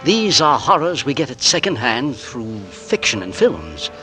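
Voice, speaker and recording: A nervous, genteel husband. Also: male voice, mid-range voice, sing-song, unhurried, bright, forceful tone, rough recording. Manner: genteel, polite, nervously hesitant. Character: mild suburban husband, nervous and polite. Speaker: narrator and lead. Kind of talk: 1940s radio drama narration. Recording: old radio broadcast with music.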